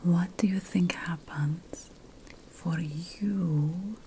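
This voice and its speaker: A woman whispers a fantasy roleplay in ASMR style as a playful, self-important witch and potion master, breathy and deliberate, with pauses between phrases. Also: fairly low pitch, dark tone. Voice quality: breathy, mostly unvoiced whisper. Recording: faint background noise.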